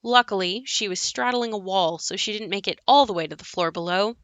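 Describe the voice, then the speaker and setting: A woman's voice: medium pitch, brisk, a bit bright, no echo, natural voice. A young woman playing a wry narrator in an audio play, telling of her haunted house in a conversational monologue. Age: young adult. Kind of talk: scripted dramatic monologue.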